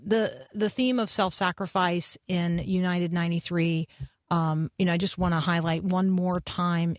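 A woman radio host with a fairly low voice talks through a serious segment in an earnest, deliberate way. Her delivery is that of live, conversational commentary. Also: no echo, clean studio sound.

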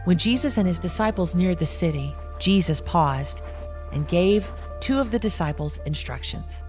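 A woman narrating a scripted audio drama in a measured, evocative storytelling way. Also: on the low side, expressive, a little mellow. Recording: clear music bed underneath.

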